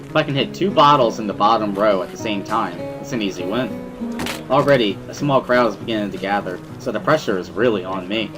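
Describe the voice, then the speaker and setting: Animated man narrating a visual novel. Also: fairly low pitch, fairly quick, over music, low-quality recording. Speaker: narrator of a dramatic reading.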